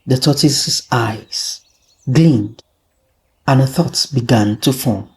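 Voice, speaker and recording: Very slow, dramatic folktale storyteller. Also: mid-range voice, noisy background. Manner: deliberate, with frequent dramatic pauses. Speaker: storyteller, narrator. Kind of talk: dramatic scripted folktale narration.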